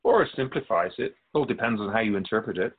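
A man playing a patient, all-knowing keeper of souls in a radio drama, explaining things in a measured, gently wry way. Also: on the low side, phone-quality sound. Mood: calm, probing. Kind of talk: scripted radio drama dialogue.

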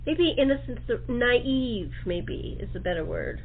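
A woman's voice: a little high, big pitch swings, unhurried, slight background noise. Woman hosting over a thin phone-like line. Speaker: talk show host. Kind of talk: casual interview chat. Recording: thin, band-limited phone-like sound.

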